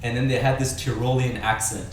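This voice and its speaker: A young man from Austria in his twenties, hosting a casual podcast chat in a relaxed, laid-back way. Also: mid-range voice, a little fast, distant mic, a little hiss or hum. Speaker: podcast host. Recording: very echoey room, off-mic.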